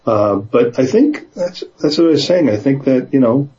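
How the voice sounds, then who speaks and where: A man in casual conversation, speaking in a hesitant, halting way, sometimes reading aloud. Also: mid-range voice, lively intonation, dark tone, highs cut off. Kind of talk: casual conversation with some read-aloud passages.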